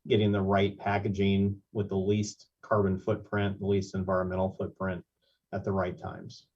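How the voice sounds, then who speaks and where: A man speaking as a panelist in a video-call discussion, measured and methodical. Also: low-pitched, completely flat delivery, darkish tone. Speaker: industry panelist. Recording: dull lo-fi audio.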